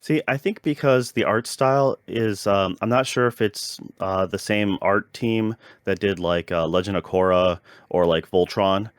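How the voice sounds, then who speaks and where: A man with a fairly low voice chats as a podcast co-host giving a review. His delivery is hesitant and rambling, with frequent self-interruptions. The sound is dry, with little room around it. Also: a little slow, hardly any pauses, clean tone, no echo. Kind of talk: casual review chat.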